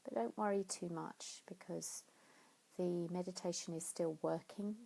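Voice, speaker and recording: A woman leading a guided sleep meditation in a soothing, unhurried way, pausing between phrases. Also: on the low side, fairly even intonation, vocal fry, mellow, rough recording. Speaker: yoga nidra meditation teacher.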